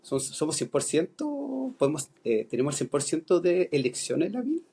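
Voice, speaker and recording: A man's voice: a little high, big pitch swings, moderate pace, mellow, husky. A middle-aged Chilean man with a Chilean accent, a philosophy teacher talking on a podcast, thoughtful and full of hesitations and restarts. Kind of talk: podcast conversation.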